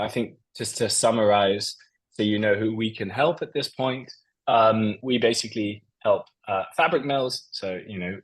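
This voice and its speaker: Male presenter, lowish voice, casual business pitch, filler-heavy. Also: rough recording. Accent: non-Portuguese. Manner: loose and conversational, many fillers. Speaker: company presenter. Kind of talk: business presentation over slides.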